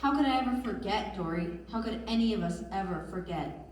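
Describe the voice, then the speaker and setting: A woman's voice: mid-range voice, animated, brisk, a little hiss or hum, natural voice. A woman playing a wistful, reminiscing dwarf who remembers lost riches in readers theatre. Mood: nostalgic. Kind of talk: readers theatre stage performance. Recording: distant mic in very echoey hall.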